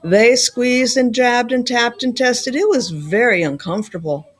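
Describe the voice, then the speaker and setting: A woman reading a children's story aloud like a school librarian, phrasing clearly with dramatic emphasis. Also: fairly high pitch, unhurried. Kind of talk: expressive children's storybook narration.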